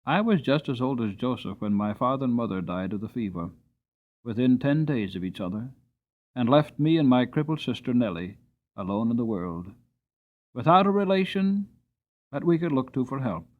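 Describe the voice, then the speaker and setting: A man's voice: mid-range voice, lively intonation, moderate pace, natural voice. A man voicing a kind, hardworking coachman who talks plainly and earnestly, on a tinny narrowband recording.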